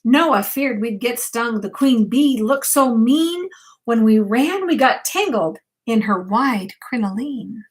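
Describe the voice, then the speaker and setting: A woman's voice: on the high side, animated, takes it slowly. An older woman, a grandmother, reads a rhyming picture book aloud expressively and unhurriedly, speaking directly to young children. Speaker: grandmother reading a bedtime story to children.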